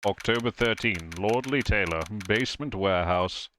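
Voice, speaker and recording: A man's voice: lowish voice, moderate pace, noisy background, pitched below the performer's own voice, lightly acted. A man voicing a dry, kindly defense lawyer in a video game dub, with a mild manner. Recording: game music behind the voice.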